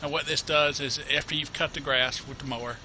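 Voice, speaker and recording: A man's voice: on the high side, steady tone, fairly quick, noisy background. A man with a cold, sniffling a little, casually narrating a farming game tutorial, rambling and correcting himself with lots of fillers. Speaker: gameplay tutorial host. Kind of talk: casual let's-play commentary.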